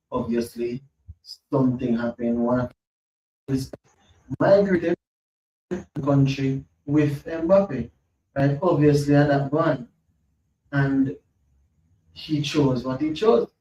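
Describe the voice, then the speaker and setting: A man's voice: mid-range voice, warm tone. A man, hosting a casual football podcast, thinking aloud, repeating himself and reading from his screen. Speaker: podcast host. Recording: roomy, lo-fi, mic at a distance, faint hum.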